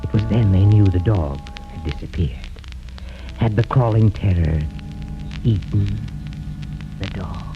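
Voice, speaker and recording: An actor playing a gleefully sinister storyteller in 1930s radio horror, with a theatrical, drawn-out delivery that relishes the macabre. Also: male voice, lowish voice, muffled recording. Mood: suspenseful. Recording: old band-limited 1930s radio recording.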